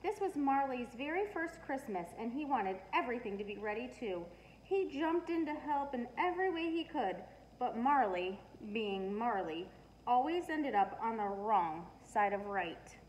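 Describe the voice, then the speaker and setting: A middle-aged woman reading a children's storybook aloud with expressive, emphatic storytelling. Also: on the high side, unhurried. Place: living room, indoors. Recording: noisy living room recording.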